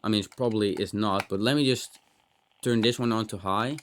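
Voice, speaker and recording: A man's voice: lowish voice, steady tone, a little fast, a little hiss or hum. Rambling man demoing gadgets off the cuff. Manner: rambling, thinking aloud, mildly sweary. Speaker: host of a tech teardown channel. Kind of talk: casual hands-on gadget demo, unscripted.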